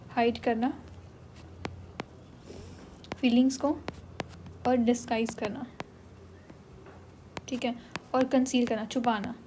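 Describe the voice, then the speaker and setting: Woman, Indian English accent, exam tutor, fairly high voice, steady background noise. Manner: explains in Hindi mixed with English, often checks with "theek hai". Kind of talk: Hinglish vocabulary lesson.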